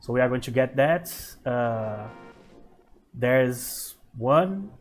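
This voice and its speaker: A man in his thirties with a Brazilian Portuguese accent, a Portuguese native speaker, hosting his gaming channel and thinking aloud over gameplay in relaxed let's-play commentary. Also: mid-range voice, takes it slowly, soft background music. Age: 30s.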